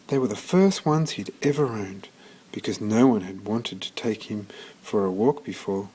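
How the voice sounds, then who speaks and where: A man reading a children's story aloud in an expressive storytelling manner, occasionally stumbling and restarting. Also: lowish voice, moderate pace, slightly rough, poor audio. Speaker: storybook reader.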